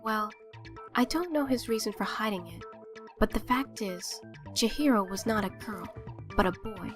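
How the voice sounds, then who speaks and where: A woman voicing a cool, composed teenage girl detective in an anime fan dub, measured and matter-of-fact. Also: mid-range voice, animated, faint music behind, lightly acted. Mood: composed, deductive.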